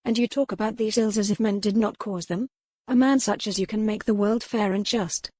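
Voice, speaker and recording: A woman's voice: mid-range voice, fairly even intonation, a little fast, warm tone, no echo, close to an everyday voice. A woman voicing a proud, resolute young noblewoman in a romance audiobook, impassioned, with formal old-fashioned phrasing. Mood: pleading, fierce. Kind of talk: dramatized romance audiobook dialogue.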